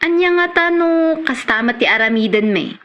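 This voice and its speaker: A woman's voice: sits high, animated, moderate pace, highs cut off. A woman with an Ilocano Filipino accent playing a nosy, gossiping village friend in a radio comedy drama. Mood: curious, gossipy.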